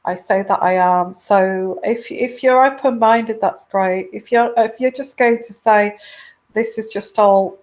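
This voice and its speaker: A woman hosting a live tarot show, speaking quietly through a sore throat from a virus, hesitant with many pauses and restarts. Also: medium pitch. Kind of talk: casual live-stream chat.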